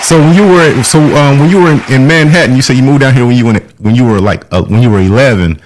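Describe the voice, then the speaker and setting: A man hosting a live music interview in slangy, hyped casual chat, full of shout-outs. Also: mid-range voice, fast, background noise.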